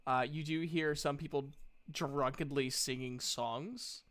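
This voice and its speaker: A man acts as game master in a tabletop session. His voice is bright and on the high side, and he moves between informal table talk and loose, casual narration. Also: fairly even intonation, takes it slowly.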